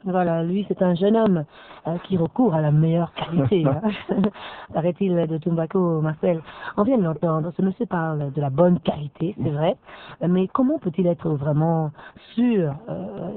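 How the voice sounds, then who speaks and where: A woman's voice: on the low side, moderate pace, a little hiss or hum. A woman presenting a radio magazine, chatty with many fillers. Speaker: radio host. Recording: narrow phone-like band.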